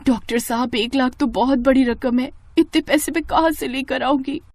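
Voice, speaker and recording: Woman playing worried young daughter, high and pleading. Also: steady tone, normal speed, no echo, studio quality. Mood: anxious. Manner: pleading, emotional. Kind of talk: animated Hindi moral story acting.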